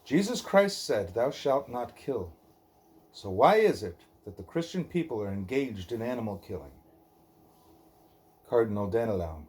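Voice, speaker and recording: Man reading slowly in a dark, mellow voice. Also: medium pitch, very animated. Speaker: reader of a devotional book; parent reading aloud at a child's bedtime. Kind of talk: scripted narration, measured reading of a dialogue.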